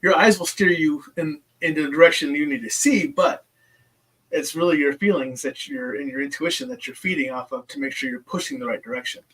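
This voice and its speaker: A man's voice: on the high side, lively intonation, a little fast, a bit bright, breathy edge, recorded from a distance, low-quality recording. Hesitant man, a bit off-mic. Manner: hesitant, many restarts and ums. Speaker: podcast host. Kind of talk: casual interview chat.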